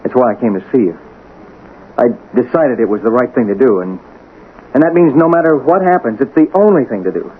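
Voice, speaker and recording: An actor playing an earnest, fumbling husband who talks haltingly, in a 1980s radio drama style. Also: male voice, mid-range voice, lively intonation, close to an everyday voice. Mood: nervous, earnest. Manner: hesitant, halting, stammering. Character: earnest, fumbling working husband, stubborn but conscience-driven. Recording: tinny, noisy old radio broadcast.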